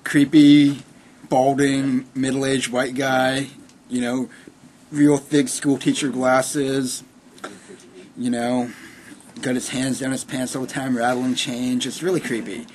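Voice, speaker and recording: A man's voice: medium pitch, little pitch movement, slow, a little mellow, slight background noise. A twenty-three-year-old man giving blunt, wry opinions in a casual interview. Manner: blunt and wry, piling up details.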